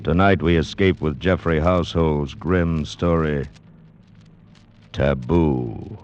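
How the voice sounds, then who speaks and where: Vintage suspense opener: portentous, menacing announcer, bottomless bass, dramatic and deliberate, muffled radio sound. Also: male voice, heavily acted. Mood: ominous. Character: ominous announcer luring listeners into nightmare tales. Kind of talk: 1940s radio suspense introduction. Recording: muffled old radio broadcast with music.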